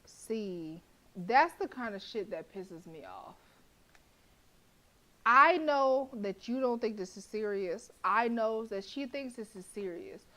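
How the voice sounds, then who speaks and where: A young woman streaming a horror visual novel, reading the narration aloud and breaking into blunt, profane, animated reactions with frequent laughter. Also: medium pitch, takes it slowly, low-quality recording.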